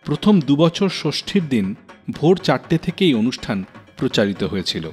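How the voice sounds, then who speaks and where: Man narrating with lively, dramatic rises and falls. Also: medium pitch, normal speed, breathy edge. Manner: expressive, dramatic storyteller pacing. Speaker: radio show host. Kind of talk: scripted storytelling narration. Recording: reverberant narration over faint music.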